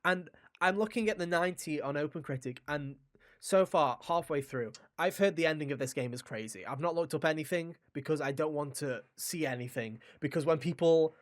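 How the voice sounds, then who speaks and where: A man's voice: on the high side, fairly quick. A man hosting a gaming podcast, talking casually in an enthusiastic, rambling way with some swearing. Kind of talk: casual video game discussion.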